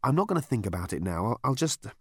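A man's voice: mid-range voice, very animated, fast, breathy edge, dry recording, studio quality. A man voicing a squeamish, flustered young man who stammers and protests indignantly.

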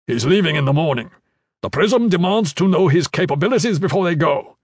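A man's voice: on the high side, expressive, a little fast, husky, dry recording, clean studio sound, voice pushed up. A man voicing a blunt, impatient military commander who talks in curt demands.

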